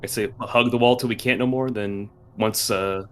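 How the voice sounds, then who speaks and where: A man's voice: on the low side, fairly even intonation, moderate pace, clean tone. A man talking casually as a player in a tabletop role-playing game.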